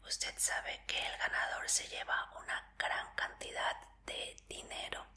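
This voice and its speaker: A woman in an ASMR roleplay as a medical examiner, speaking in a breathy whisper with a gentle, polite, reassuring manner. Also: a little slow.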